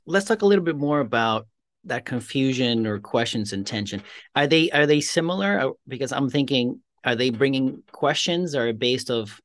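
A man's voice: medium pitch, animated, moderate pace, a bit bright, no echo. A man hosting a podcast, asking friendly questions and rephrasing them as he goes. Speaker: podcast host. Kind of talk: casual interview hosting.

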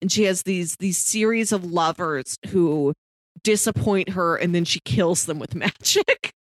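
A woman's voice: fairly low pitch, a little slow, dry recording. A woman co-hosting a film podcast, talking casually and descriptively in a thoughtful way. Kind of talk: casual movie discussion.

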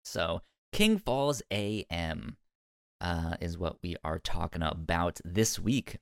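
A man's voice: sits low, sing-song, slow pace, gravelly, smooth, dry recording, clean studio sound. A man co-hosting a casual review podcast, often stammering and repeating words as he goes. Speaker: podcast co-host.

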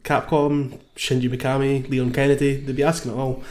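Casual man with a mid-pitched voice. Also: flat delivery, fairly quick, a little mellow, a little hiss or hum. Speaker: panelist on a comedy game show podcast. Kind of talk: casual comic banter.

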